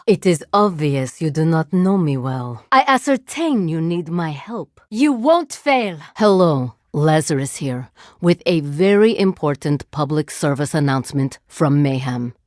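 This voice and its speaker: A reanimated woman agent in a video game, commanding her drones with unhurried clinical calm. Every line comes out precise, formal and flatly deadpan, pitched a little low. It is recorded close and completely dry, with no room around it. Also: dry recording. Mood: combat cool. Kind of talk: game voice lines. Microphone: close studio mic.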